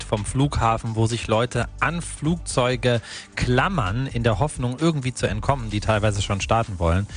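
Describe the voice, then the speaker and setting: A man hosting a local radio morning show, telling a news story in a conversational way and adding his own opinions. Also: on the low side. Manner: conversational, personal opinions mixed into the report. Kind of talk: radio news report with commentary. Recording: radio studio with faint music bed.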